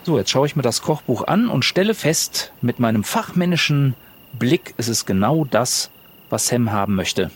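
A man's voice: medium pitch, expressive, moderate pace, slightly rough. A man playing a tabletop roleplaying game, improvising conversationally in character.

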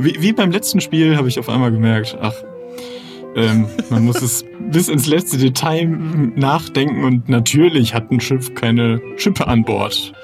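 Slow, halting male player over music. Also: medium pitch. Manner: halting, repeating words. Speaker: guest player. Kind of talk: casual chat.

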